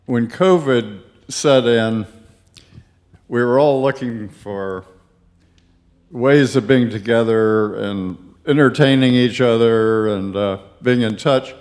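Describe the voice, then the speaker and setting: A man in the congregation sharing a family story at a church service, hesitant and full of pauses. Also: mid-range voice, darkish tone. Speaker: congregation member.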